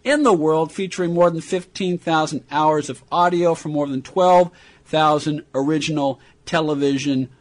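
A man's voice: a little high, steady tone, normal speed. An American man hosting a radio program, introducing and interviewing in a prepared style. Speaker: radio show host.

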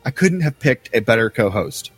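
A man's voice: medium pitch, sing-song, moderate pace, breathy edge, soft background music. A man co-hosting a podcast in casual, enthusiastic chat, laughing easily and restarting sentences. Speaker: podcast co-host.

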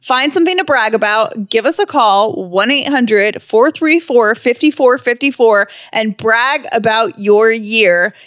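A woman's voice: on the high side, a little slow, hardly any pauses, fairly bright tone, no room sound. A woman co-hosting a podcast in a casual, chatty style. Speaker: podcast co-host.

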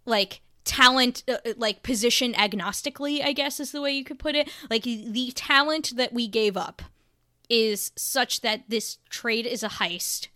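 A woman's voice: fairly high pitch, unhurried, bright, forceful tone, dry recording, studio quality. A woman co-hosting a casual sports podcast, talking emphatically and sarcastically with lots of "like" fillers. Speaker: podcast co-host.

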